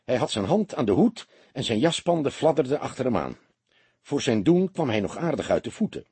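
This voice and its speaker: A male audiobook narrator with a very husky, breathy-rough voice. He reads scripted prose expressively, with lively swings in intonation, in a close, dry recording. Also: mid-range voice, brisk, dry recording.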